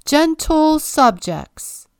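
A woman voicing a vain, pompous male king in a storybook read-aloud, with booming, self-important proclamations. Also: big pitch swings, drawn-out words, darkish tone, dry recording, heavily acted. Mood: grand.

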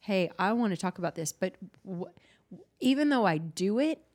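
A woman podcast host who works as a counselor, talking candidly in a halting, restart-filled way in casual chat. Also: mid-range voice, lively intonation, darkish tone.

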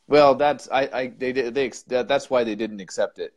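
A man's voice: mid-range voice, unhurried. A man co-hosting a casual chat who gives blunt, emphatic opinions and often restarts his sentences. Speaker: co-host.

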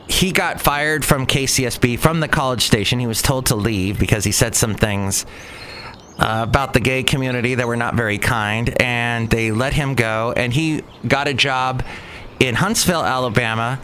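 A man's voice: medium pitch, fairly even intonation, normal speed, bright, forceful tone, slight background noise. A middle-aged man from Southern California with an American accent, telling personal stories in a casual, rambling way, often correcting himself as he goes. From Southern California, United States. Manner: conversational, self-correcting, digressive. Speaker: veteran radio broadcaster telling personal stories. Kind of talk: casual rambling monologue of reminiscences.

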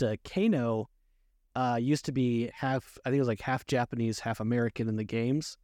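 A man co-hosting a podcast, chatting informally and haltingly about films and games. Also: mid-range voice, darkish tone, no echo, studio quality. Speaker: podcast co-host. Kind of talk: casual movie and game discussion.

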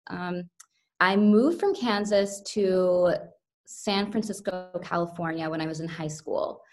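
A young woman with a lowish, fairly deep voice talks through her story as a podcast guest. She is from the United States and speaks earnestly and reflectively, often restarting her sentences. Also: unhurried. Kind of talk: interview conversation.

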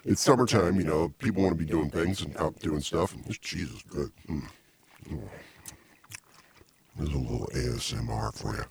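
A middle-aged man hosting a casual comedy show, rambling and teasing with deadpan insults, on a small desk mic in a home music studio. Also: a bass voice, moderate pace, creaky, crowd noise. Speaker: podcast host. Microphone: small desk mic stand.

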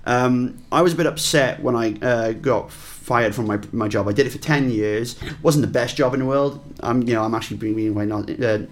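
A man's voice: mid-range voice, brisk, hardly any pauses. A young Welsh man talking casually as a guest musician, rambling with lots of fillers and restarts. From Wales. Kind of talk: casual interview chat.

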